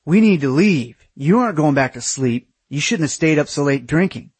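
Guy doing an angry loud young dude, high pitched scolding, totally exasperated, audiobook character. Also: big pitch swings, a little slow, heavily acted. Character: angry, indignant young man, shrill shouting complainer.

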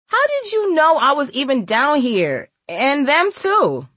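A woman's voice: high voice, huge pitch swings, unhurried, fairly bright tone, no echo, voice pushed up, exaggerated voice. A woman voicing a hot-tempered, defiant young girl with slangy, exclamatory speech in an audiobook story. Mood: wary.

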